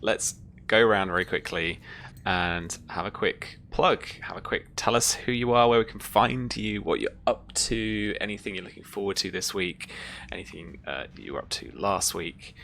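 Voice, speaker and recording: A woman with a very low, gravelly voice hosts a casual group stream as game master. She is appreciative and thanks the others often. There is clear noise behind her. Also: normal speed, fairly bright tone. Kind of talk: casual stream wrap-up chat. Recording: clear background noise and ambience.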